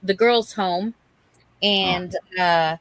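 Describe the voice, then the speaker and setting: A woman with a bright, forward voice chats casually as a livestream co-host. Her pace is slow and conversational, and the sound is dry with little room in it. She talks informally and directly to the people in the chat. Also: fairly low pitch, no room sound, low-quality recording. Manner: chatty, addresses and greets viewers by name. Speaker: livestream co-host reading and answering viewer chat.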